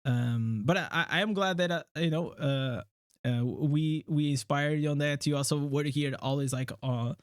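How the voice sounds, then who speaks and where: Portuguese-accented man, chatty and halting. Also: on the high side, smooth, no room sound, clean studio sound. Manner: halting, many fillers and restarts, laughs often. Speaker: streamer host. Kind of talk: casual livestream chat.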